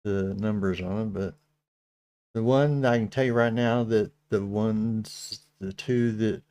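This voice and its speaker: A middle-aged man with a Texas accent, hosting a casual livestream chat, speaking slowly with many halting restarts. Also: on the low side, steady tone, dark tone, clean tone. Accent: Texan. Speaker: channel host.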